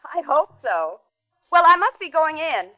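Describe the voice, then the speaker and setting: A woman's voice: sits high, big pitch swings, measured pace, muffled recording. An actress playing a confiding, discontented woman neighbor in a vintage radio drama, on a thin old recording. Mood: confiding, disapproving. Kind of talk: 1940s radio acting.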